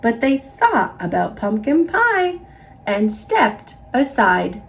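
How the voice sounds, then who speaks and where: A woman with an American accent narrating a children's picture book in an expressive, emphatic read-aloud style. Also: fairly high pitch, slow, vocal fry. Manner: expressive, emphatic, repetitive build-ups. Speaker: storybook narrator.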